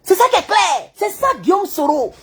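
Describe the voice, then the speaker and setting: A man giving an animated political monologue, acting out the dialogue in his stories. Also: extremely high pitch, normal speed, faint music behind. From Côte d'Ivoire. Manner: excitable storyteller who acts out dialogue. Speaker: online political commentator.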